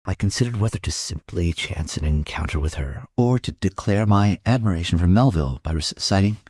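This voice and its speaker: A man's voice: low voice, lively intonation, brisk, a little mellow, breathy edge, no echo, clean studio sound. A man playing a middle-aged homicide inspector who narrates a crime audio drama in a wry, musing, literary manner. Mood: wry, smitten.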